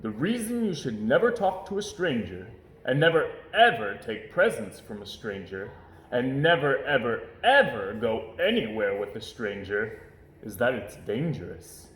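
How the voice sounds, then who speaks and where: A man's voice: mid-range voice, very animated, measured pace, fairly bright tone, echoey room, rough recording. A man voicing a serious, protective father bear from a children's storybook, explaining things with grave emphasis.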